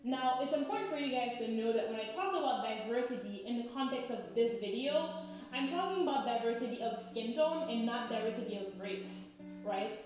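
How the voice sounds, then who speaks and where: A woman in her thirties from Haiti, explaining in a measured, lecturing way and often checking in with "right?". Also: on the high side, animated, darkish tone. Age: 30s. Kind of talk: explanatory talk. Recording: very echoey room.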